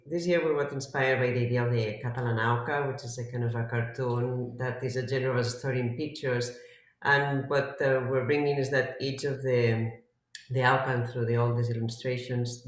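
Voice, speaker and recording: An artist presenting festival design work in an unscripted online talk. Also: mid-range voice. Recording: very echoey room.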